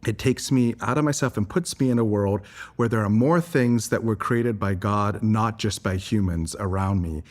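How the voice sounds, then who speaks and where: A man's voice: fairly low pitch, normal speed. A man from Singapore giving earnest, articulate, reflective answers as an interview guest. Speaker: interview guest, environmental advocate.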